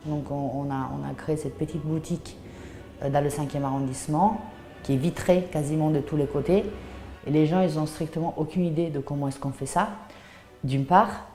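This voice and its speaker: A woman in her thirties with an Italian accent tells of her craft in an interview. Her voice is low and soft-edged, with a warm, dark tone. She thinks aloud with some hesitation, in a room with noticeable echo. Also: fairly even intonation, normal speed, soft background music. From Puglia, southern Italy. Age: 30s. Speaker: artisan cheesemaker and shop founder.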